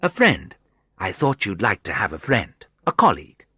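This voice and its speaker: A man's voice: lowish voice, wildly swooping pitch, moderate pace, sharp tone, slightly rough, no room sound, full character voice. A man voicing a confident, friendly, teasing woman from Brazil in an audiobook story, with playful and emphatic delivery. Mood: warm, bright. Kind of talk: audiobook character dialogue.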